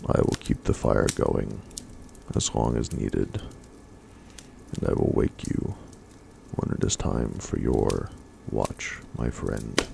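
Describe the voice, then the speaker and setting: Soft-spoken male ASMR storyteller; very deep, gravelly, slow read. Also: background noise.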